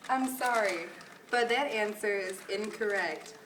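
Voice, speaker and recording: An earnest puppet experiment participant, played by a woman, speaks in a measured, slow, mid-pitched voice. The amateur recording has clear noise. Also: recorded from a distance, lightly acted. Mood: polite, apologetic. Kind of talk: amateur puppet show acting.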